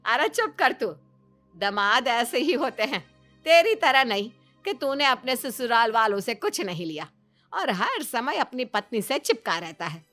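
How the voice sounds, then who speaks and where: Woman acting a bright, high, bossy mother-in-law. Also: sing-song, faint music behind, heavily acted. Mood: scolding. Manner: brisk, scolding. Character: bossy middle-aged mother-in-law, scolding.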